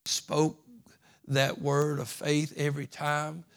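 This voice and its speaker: A man preaching a sermon as pastor, folksy and repetitive, building from soft talk to loud fervent preaching. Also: a little high, completely flat delivery, takes it slowly. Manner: folksy, repeats phrases.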